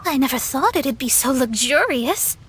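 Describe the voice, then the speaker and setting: A woman's voice: sits high, lively intonation, normal speed, fairly bright tone, a little hiss or hum. A woman voicing a proud, easily flustered young female astrologist in a video game, speaking in a pompous, formal way. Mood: haughty, pleased.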